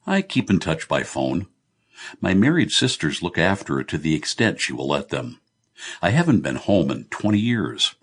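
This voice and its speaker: A man's voice: low-pitched, very animated, moderate pace, slightly rough, exaggerated voice. A man voicing a good-humoured, blunt middle-aged Irish businessman in an audiobook, breezy, teasing and confiding. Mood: wry, reminiscing.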